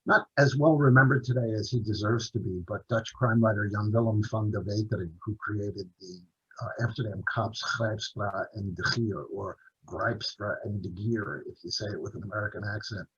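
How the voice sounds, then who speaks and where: A man's voice: on the low side, normal speed, fairly bright tone, breathy edge, highs cut off. A man, an author, telling long stories in an interview over a video call, rambling with restarts and fillers. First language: English. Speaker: author and translator, interview guest.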